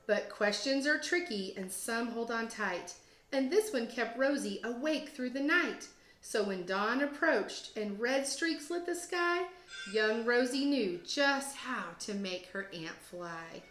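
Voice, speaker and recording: A woman teacher reading a children's storybook aloud with expressive, deliberate storytelling phrasing. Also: a little high. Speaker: teacher, guest read-aloud host. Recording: roomy echo, music behind. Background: storybook app music.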